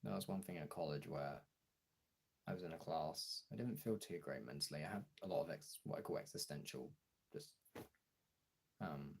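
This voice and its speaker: A young man streamer talking casually and frankly in a gravelly, husky voice, halting at times and swearing freely. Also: extremely low pitch. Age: young adult. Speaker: streamer and voice actor chatting to followers. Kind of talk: casual candid chat.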